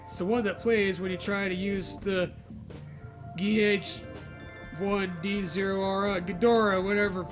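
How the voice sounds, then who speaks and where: A man's voice: sits high, flat delivery, measured pace, over music. A man co-hosting a comedy read-aloud, moving between narrating a story and casual, amused banter. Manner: amused, breaks into laughter. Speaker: co-host reading a fan story aloud.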